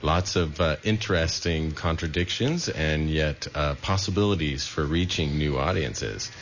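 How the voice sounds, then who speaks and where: Very deep-voiced male radio host. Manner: measured, with filler pauses. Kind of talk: casual radio talk. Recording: dull muffled broadcast with faint noise.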